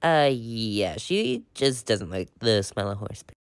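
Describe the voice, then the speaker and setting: A woman's voice: very deep voice, expressive, slow, clean tone, no echo, studio quality, full character voice. A woman playing a weary, practical mother in a pretend-play story, firm and matter-of-fact. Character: weary, practical mom of a young girl.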